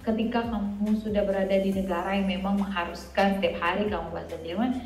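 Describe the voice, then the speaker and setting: Wanita muda Indonesia, santai dan banyak cerita. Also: female voice, medium pitch, steady tone, quick pace, a little mellow. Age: 20s. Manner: chatty, mixes English into Indonesian. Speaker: interview guest, student living abroad. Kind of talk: casual conversational interview. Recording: roomy echo, music underneath. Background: background music.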